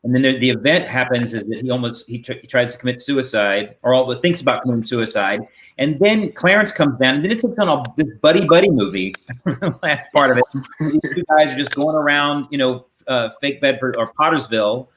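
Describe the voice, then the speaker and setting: Male interview host, medium pitch, casual and rambling, recorded on a slightly noisy video call. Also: lively intonation, fairly quick, fairly bright tone. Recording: video call with faint noise.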